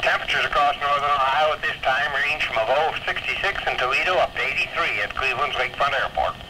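A man's voice: mid-range voice, fast talker. American man on very noisy weather radio. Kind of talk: scripted weather report reading.

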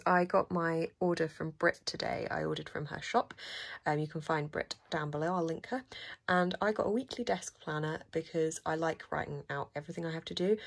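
A young woman with a low, soothing voice, chatting self-deprecatingly to camera from her bedroom. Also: slightly rough. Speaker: book vlogger. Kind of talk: casual chat to camera. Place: bright bedroom with bookshelves, indoors. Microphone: phone or camera at arm's length.

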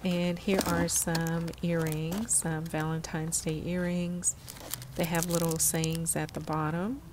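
Female shopping vlogger, low register, casual descriptive delivery, faint background noise of a store. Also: flat delivery, slow pace. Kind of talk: casual shop-with-me narration.

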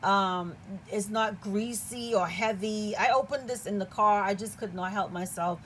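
A woman chatting casually and excitedly as she unboxes products at a table indoors. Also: medium pitch, measured pace, a little hiss or hum, low-quality recording. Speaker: product reviewer.

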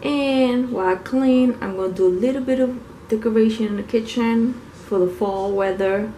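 Woman vlogger with a mellow voice. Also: a little high, fairly even intonation, moderate pace, slight background noise, poor audio. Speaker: home vlogger. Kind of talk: casual vlog chat. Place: at home, indoors, kitchen and nearby room.

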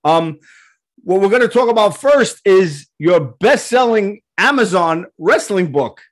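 A man's voice: sits high, expressive, unhurried. A man in his fifties with a New York accent, hosting a casual video-call interview with loose, joking banter. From Queens, New York. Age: 50s. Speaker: host. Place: home studio full of rock memorabilia. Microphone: headset on a video call.

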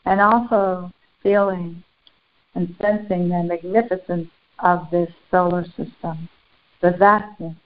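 Slow, phone-muffled woman guiding a meditation. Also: lowish voice, steady tone. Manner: slow, deliberate, short phrases with long pauses; solemn, formal, ceremonial wording. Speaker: spiritual channeler and meditation guide. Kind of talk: guided meditation, channeled spiritual message. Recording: muffled, phone-like narrow band.

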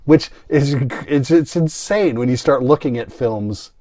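A man's voice: medium pitch, unhurried, dark tone, no echo, studio quality. A man co-hosting a casual film review podcast, talking in a wry, easygoing way. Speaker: podcast co-host.

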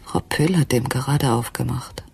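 A woman's voice: very deep voice, normal speed, vocal fry, a little mellow, breathy edge, full character voice. An actress playing a weary, troubled wife recounting her day in a radio drama monologue, half-whispering.

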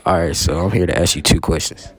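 A man's voice: low-pitched, fairly even intonation, fast talker. A teenage male student doing casual, slangy street-style interviews at school. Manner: slangy, informal. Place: high school classrooms and cafeteria. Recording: busy crowd chatter behind.

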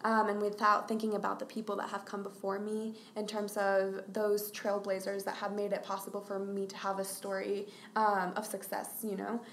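A young woman in her twenties, originally from Mexico, speaking earnestly and in measured phrases, with frequent ums, as a podcast interview guest. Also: medium pitch, completely flat delivery, fairly bright tone, echoey room, a little hiss or hum. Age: 20s.

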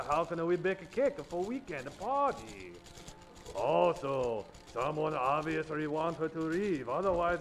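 A man playing a courtly, pompous detective general in old-time radio comedy, speaking formal, stilted broken English. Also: fairly high pitch. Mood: pondering. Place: theatre stage. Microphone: vintage-style stand microphone.

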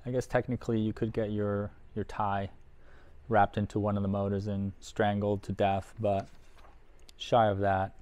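A middle-aged man giving casual, unhurried unboxing commentary, thinking aloud as he handles things in a workshop. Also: lowish voice, flat delivery, darkish tone, clean tone. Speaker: host of an audio gear unboxing video. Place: indoor workshop at a workbench.

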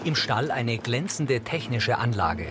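A man's voice: medium pitch, very animated, a little slow, background noise. A man giving scripted audio description of scenes in a matter-of-fact way.

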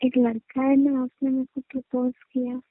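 A woman acts as a young girlfriend in a phone-call drama, coy and quick to take offence. Her voice sits high, with dry, narrow telephone sound. She talks casually, like a real couple chatting. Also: monotone, dry recording, natural voice. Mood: coy, hesitant. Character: young girlfriend, coy, touchy and easily annoyed. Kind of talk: phone-call couple roleplay, conversational Hindi-English. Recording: thin, phone-like call audio.

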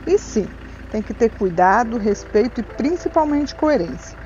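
A woman's voice: a little high, animated, moderate pace, a little mellow, lo-fi sound, a little hiss or hum. A Brazilian woman with a Brazilian Portuguese accent narrating a travel vlog in a cheerful, easy manner. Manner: cheerful, laughs often while filming.

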